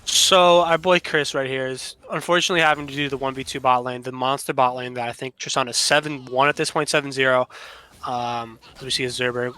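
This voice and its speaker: A man's voice: mid-range voice, fairly even intonation, a little fast, pushed and bright, smooth. A man giving casual live analysis and banter as a commentator on a video game match.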